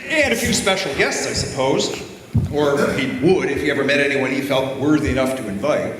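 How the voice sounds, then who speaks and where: A man's voice: mid-range voice, expressive, normal speed, natural voice. A man playing an anxious, deferential royal lackey in readers' theater, hesitant and pleading, in an echoey hall. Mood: earnest, pleading. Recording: very echoey hall, faint room noise.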